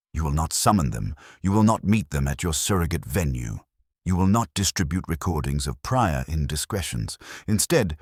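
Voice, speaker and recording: A man voicing a stern, unhurried older detective who speaks in formal, measured, clipped pronouncements, in an audiobook mystery. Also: deep voice, no room sound, studio quality, full character voice.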